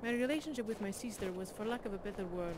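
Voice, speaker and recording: A reflective, steadfast fantasy companion, played by a woman in a video game. Her voice sits at a middle pitch, with clear ambient noise behind it. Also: quick pace, lightly acted. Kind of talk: video game character acting.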